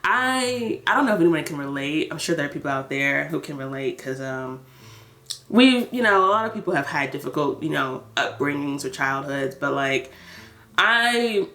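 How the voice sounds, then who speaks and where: A woman's voice: deep voice, animated, moderate pace, bright, forceful tone. A thirty-year-old American woman chatting casually and candidly in a personal vlog, telling her story with emphatic, conversational phrasing. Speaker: vlogger. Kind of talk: casual personal catch-up chat.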